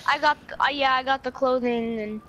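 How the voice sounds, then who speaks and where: Bright, very high young woman's voice. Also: steady tone, brisk, a little hiss or hum. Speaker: online game roleplayer. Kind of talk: improvised casual game roleplay chat.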